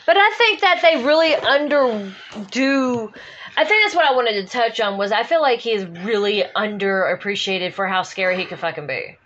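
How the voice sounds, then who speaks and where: A woman's voice: on the high side, lively intonation, normal speed, fairly bright tone. Casual woman co-host, muffled noisy recording. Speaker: co-host of a comic book talk show. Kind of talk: casual chat. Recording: muffled home recording with background noise.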